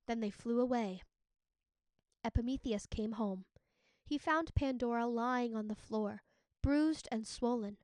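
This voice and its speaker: A woman narrating a storybook as a teacher would, in an expressive read-aloud style. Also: a little high, measured pace, long pauses, dry recording.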